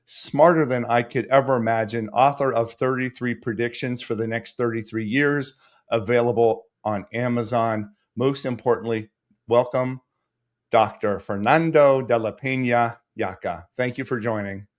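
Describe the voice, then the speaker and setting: A man's voice: mid-range voice, steady tone, moderate pace. Upbeat male podcast host. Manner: upbeat, reads guest introduction then chats. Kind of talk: conversational interview.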